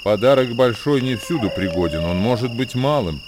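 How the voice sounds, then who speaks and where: A man performing a solemn, measured dramatic recitation of old proverbs as a radio actor. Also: medium pitch, expressive, background noise. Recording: music behind the voice. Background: music.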